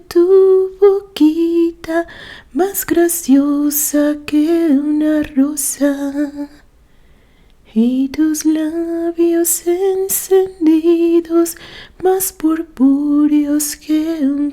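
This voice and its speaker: A woman's voice: high-pitched, steady tone, very slow, mellow, faint music behind, voice pushed up. A woman voicing a tender young mother singing an old lullaby within a narrated story.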